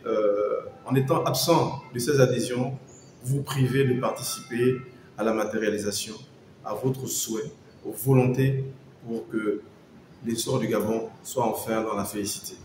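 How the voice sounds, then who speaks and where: A man's voice: mid-range voice, darkish tone, echoey room, slight background noise, rough recording. A man making a formal, rallying statement to the camera, urging listeners to join. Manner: formal, exhortative. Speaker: party member interviewed.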